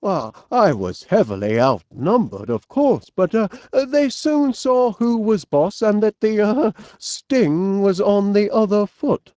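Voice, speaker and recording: Cartoon inventor, middle-aged, Northern English accent, cheerful, fussy, dithering, slow drawn-out delivery, dry recording. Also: male voice, high-pitched, expressive, warm tone, no room sound. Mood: boastful, sheepish. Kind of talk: animated comedy game voice acting.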